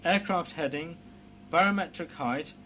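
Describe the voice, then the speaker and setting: Formal male training-film narrator, noisy hum. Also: fairly bright tone. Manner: formal, measured, precise explanatory delivery. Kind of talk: scripted instructional narration. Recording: clear background noise with low hum.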